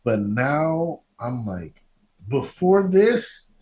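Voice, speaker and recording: A male podcast host in relaxed, casual conversation. His pitch is very lively and moves around a lot, and he has a jokey way of repeating phrases to drive them home. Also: extremely slow, a crowd in the background. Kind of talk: casual chat.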